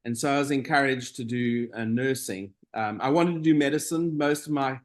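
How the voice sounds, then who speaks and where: A middle-aged man from South Africa, a nurse being interviewed, talking in a relaxed, meandering way with frequent pauses. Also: mid-range voice. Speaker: guest, operating room nurse. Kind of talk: casual interview conversation.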